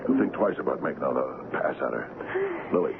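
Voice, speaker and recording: An actor playing a tough, jealous, ailing ship's captain in a radio drama, speaking gruffly and haltingly. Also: male voice, wildly swooping pitch, full character voice. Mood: gruff, menacing. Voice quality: very deep, gravelly. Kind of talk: 1970s radio drama acting. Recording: noisy, tinny old radio broadcast.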